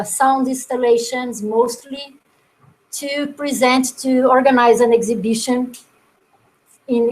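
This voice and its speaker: A woman with a fairly high voice presents her own work in a webinar. She speaks in a reflective, halting way and often repeats a word before she finds the rest of the sentence. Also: low-quality recording. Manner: thoughtful, halting, repeats words while searching.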